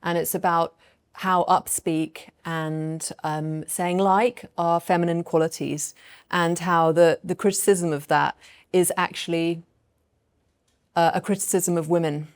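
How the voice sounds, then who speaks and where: A woman voice coach on a panel, explaining her ideas to an audience in a thoughtful, measured way with light laughs. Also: fairly low pitch, fairly even intonation, smooth. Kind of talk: panel talk answering audience questions.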